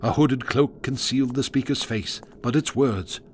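A man narrating a horror radio drama in measured, storytelling tones that drop to a hush at eerie moments. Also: medium pitch, slight background noise.

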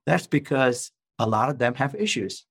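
A man's voice: mid-range voice, sing-song, normal speed, gravelly, fairly bright tone, slightly rough, no echo, studio quality. A man in his fifties, from Malaysia, explaining earnestly as a guest in a podcast interview. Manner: earnest, explanatory, uses rhetorical questions. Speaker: guest author and coach.

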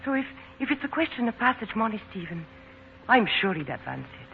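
An actress playing a warm, flustered young Irish woman in 1940s radio drama style. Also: medium pitch, sing-song, brisk, creaky. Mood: hesitant, tender. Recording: muffled, noisy 1940s radio recording.

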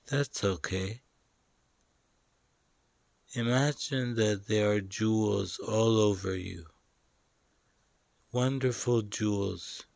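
Very slow male meditation guide. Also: on the low side, gravelly, no room sound. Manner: slow, deliberate, short phrases with long pauses.

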